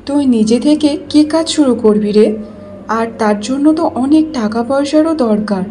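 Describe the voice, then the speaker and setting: A woman's voice: high voice, normal speed, warm tone, a little hiss or hum, natural voice. A woman playing a caring, worried mother of a grown son in a cartoon story. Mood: worried, advising. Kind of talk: cartoon dialogue.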